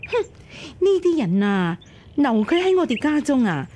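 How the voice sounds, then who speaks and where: A woman's voice: high voice, very animated, normal speed, darkish tone. A woman acting in a radio drama as a scheming, spiteful concubine, insinuating and scornful. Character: scheming spiteful concubine urging a harsh plot. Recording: clear background noise with birdsong.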